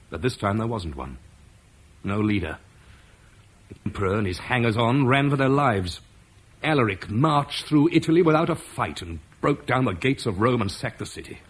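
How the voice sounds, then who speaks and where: A weary Roman officer in a classic radio play, low and husky as he brings grave news. He speaks in a measured, sober way. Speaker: Marcus, an officer. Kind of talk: 1970s BBC radio drama acting.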